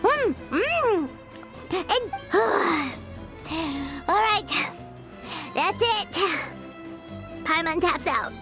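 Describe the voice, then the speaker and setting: A woman voicing a small, excitable, dramatic childlike fairy companion in an animated video game. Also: very high voice, extremely slow, long pauses, music in the background, heavily acted. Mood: delighted, eager. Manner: refers to self in third person, exclamatory.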